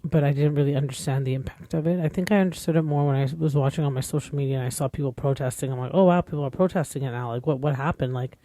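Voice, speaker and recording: A woman's voice: deep voice, steady tone, rapid-fire, few pauses, dark tone, dry recording. A woman in her forties hosting a podcast, talking in an earnest, rambling, conversational way. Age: 40s. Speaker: podcast host. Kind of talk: casual podcast monologue.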